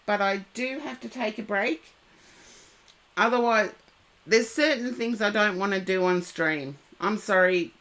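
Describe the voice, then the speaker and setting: A woman with a head cold, giving tarot readings and gentle advice to viewers on a live stream. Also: medium pitch. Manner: slow, unhurried, gently advising viewers by name.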